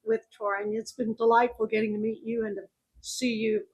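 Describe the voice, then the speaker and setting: Breathy woman host asking friendly questions. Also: on the high side, little pitch movement, fairly quick, darkish tone, highs cut off. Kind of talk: interview.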